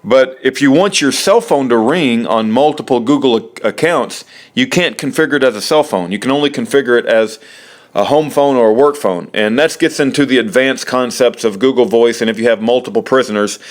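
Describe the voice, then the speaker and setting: A man talking through an informal how-to, explaining step by step in a halting way and repeating himself. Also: mid-range voice. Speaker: presenter of a how-to video. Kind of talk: informal spoken tutorial, step by step.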